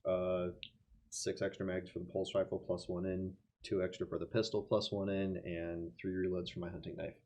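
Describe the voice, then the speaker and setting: A man's voice: sits low, level tone, moderate pace, dark tone, a little hiss or hum. A man speaking casually as a player at a tabletop roleplaying game.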